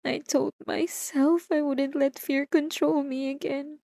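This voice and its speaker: A woman's voice: high voice, little pitch movement, unhurried, warm tone, no room sound. A woman playing an unhinged, possessive and obsessive wife in a dramatic ASMR roleplay, intense and swinging between pleading and menace. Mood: remorseful, fragile. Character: unhinged possessive, obsessive young wife confronting her husband.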